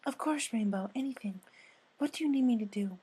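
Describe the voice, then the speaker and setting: A man voicing a timid, kind female pegasus pony in a gentle, hesitant manner for a fan story reading. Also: very high-pitched, brisk, husky, voice pushed up. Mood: gentle concern.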